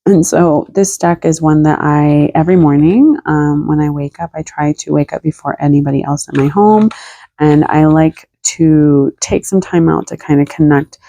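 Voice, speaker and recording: A woman with a cold, a bit raspy and congested, talking casually about her spiritual practice with many fillers. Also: sits low, steady tone, moderate pace, dark tone, dry recording. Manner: rambling, with many fillers and hedges. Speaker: tarot reader and spiritual content creator. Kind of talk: casual talk to camera.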